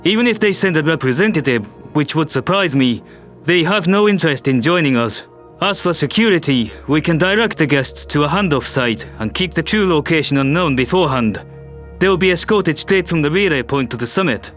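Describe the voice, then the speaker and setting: A man's voice: on the high side, animated, fairly quick, a bit bright, soft background music. A man voicing a composed male world leader in a science fiction audio drama, speaking formally and diplomatically. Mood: pragmatic. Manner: formal, statesmanlike.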